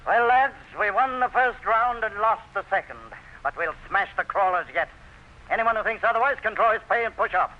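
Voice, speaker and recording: An actor playing a defiant, domineering plantation owner who barks orders and boasts in old-time radio drama style. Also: male voice, high voice, moderate pace, dull audio, lightly acted. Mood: rallying. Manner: commanding, boastful, barking orders.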